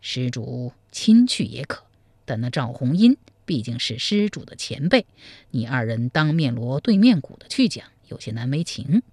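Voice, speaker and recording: A man storyteller voicing a sly, scheming male Buddhist monk who counsels in a slow, hushed manner. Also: medium pitch, very animated, no echo, pitched below the performer's own voice. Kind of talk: storytelling, acted dialogue.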